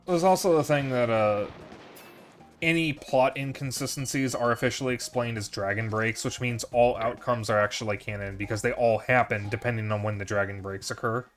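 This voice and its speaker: A man's voice: medium pitch, fairly even intonation, fairly quick, soft background music. A man with a Chicago accent chatting while gaming, explaining game lore in detail. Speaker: streamer. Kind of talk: casual gaming chat.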